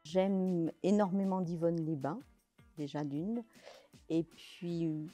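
A woman in her sixties answering interview questions, slowly and hesitantly, with many fillers and restarts, seated in a large bare indoor hall. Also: fairly low pitch, warm tone, soft background music. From France, Pays de Gex. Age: 60s. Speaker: interviewee, literary event organizer.

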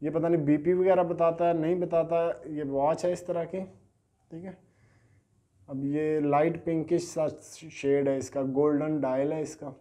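Chatty man showing gadgets, mellow voice. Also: on the high side, level tone, a little slow. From Pakistan. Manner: chatty, hesitant, repeats fillers and sales catchphrases. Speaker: online gadget seller. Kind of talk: casual product unboxing. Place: small indoor studio at a table. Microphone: lapel mic.

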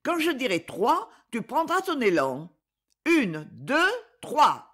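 A woman voicing an anxious, quick-tempered young girl in a storybook reading, calling out high and urgently. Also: wildly swooping pitch, full character voice.

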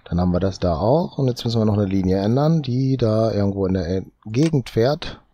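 A man with a cold, thinking aloud slowly as he comments on his own gameplay, often tagging lines with "ne?". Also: on the low side, lively intonation, warm tone. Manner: muttering, drawn-out, tags lines with "ne?". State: has a cold. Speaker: let's play host.

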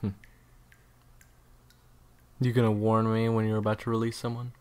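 A man playing a cold, defiant assassin gang leader in an audio roleplay drama, with a husky, gravelly voice. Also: fairly low pitch, completely flat delivery, normal speed, a little mellow, lightly acted. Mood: grim.

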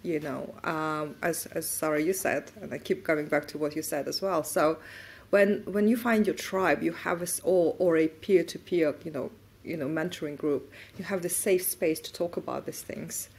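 Husky woman speaking on a panel. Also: sits low, moderate pace. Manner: animated, gestures as she talks. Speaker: panel guest. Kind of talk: fireside chat on stage. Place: conference stage before an audience. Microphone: lapel mic.

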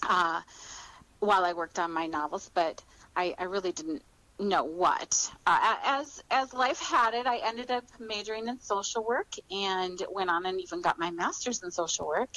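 A woman's voice: on the low side, normal speed, sharp tone, rough recording. A middle-aged woman answering interview questions conversationally, in a reflective, encouraging manner. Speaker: author, podcast guest.

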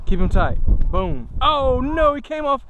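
A man filming his sons fishing at a farm pond, coaching and cheering them on with excitement. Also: extremely high pitch, a little slow. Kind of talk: casual vlog narration and cheering. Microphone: head-mounted action camera. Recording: muffled, with loud outdoor wind noise.

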